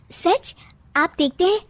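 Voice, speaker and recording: A woman's voice: very high-pitched, extremely slow, no echo, voice pushed up, exaggerated voice. A woman voicing a sweet, dutiful young daughter-in-law in a cartoon, polite and eager. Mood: delighted.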